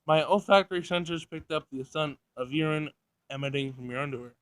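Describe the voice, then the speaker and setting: A man's voice: a little high, fairly quick, a bit bright, clean tone, dry recording, close to an everyday voice. Visual novel android, read by a man: female machine, literal-minded, formal and emotionless in delivery. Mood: clinical, blunt. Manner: pedantic, clinical, formal wording.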